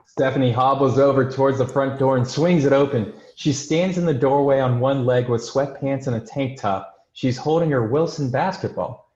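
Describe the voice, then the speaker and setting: A man's voice: mid-range voice, a little mellow. A man hosting and reading scripted introductions and screenplay narration, recorded in a roomy, muffled sound. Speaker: host and stage-direction narrator. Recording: roomy, dull-sounding microphone.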